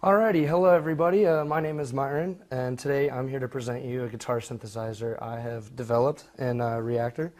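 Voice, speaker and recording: A man's voice: mid-range voice, steady tone, fairly quick, hardly any pauses, darkish tone. A young man giving an informal student project presentation, speaking a little hesitantly and correcting himself as he goes. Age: young adult.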